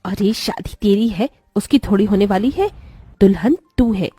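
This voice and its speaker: A woman acting a husky, scolding middle-aged mother. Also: medium pitch, moderate pace, mellow, no room sound, studio quality, lightly acted. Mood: brisk, bossy. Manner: scolding, taunting, dramatic. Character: sharp-tongued, scornful middle-aged mother, favours her own daughter. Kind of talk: Hindi cartoon story voice acting.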